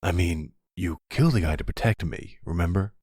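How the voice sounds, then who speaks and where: Man playing a deep, husky, composed mafia boss. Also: a little mellow, no room sound, studio quality. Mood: tender. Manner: measured, reassuring, earnest. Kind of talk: ASMR audio roleplay drama.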